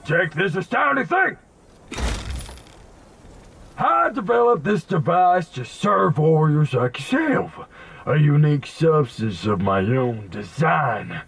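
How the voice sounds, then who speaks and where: Eccentric game mystic, high-pitched, gravelly creak, grandiose, theatrical, slow drawn-out delivery. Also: male voice, sing-song, fairly bright tone, no echo, full character voice. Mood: grandiose, excited. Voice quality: raspy, creaky. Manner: theatrical, verbose, bursts of emphatic exclamation. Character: eccentric male mad inventor mystic, grandiose and excitable. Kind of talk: video game character acting.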